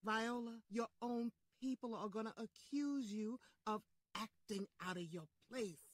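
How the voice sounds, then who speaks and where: A woman's voice: a little high, measured pace. A woman playing a worried, blunt and loyal friend in an old-time radio style drama, with an emphatic, cautioning manner. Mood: alarmed.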